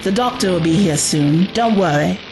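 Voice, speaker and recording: A woman's voice: lowish voice, lively intonation, normal speed, music in the background, low-quality recording. A woman acting in an audio drama as a resolute, reassuring young adventurer, with urgency in her delivery.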